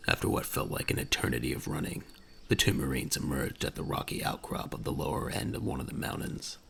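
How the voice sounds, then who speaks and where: A man with a deep, gravelly voice reading dramatic scripted narration in a deliberate, suspenseful way. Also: a bit bright, slight background noise.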